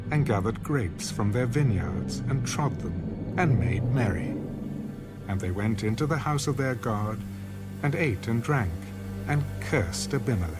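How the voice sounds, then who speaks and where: A man narrating an audio Bible in a measured, formal scripted style. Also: fairly low pitch.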